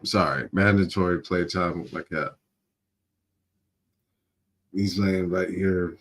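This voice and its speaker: A man hosts a casual livestream in a very low voice. He takes his time, talking slowly and rambling through slangy commentary. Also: fairly even intonation, fairly bright tone. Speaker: livestream host. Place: home studio room.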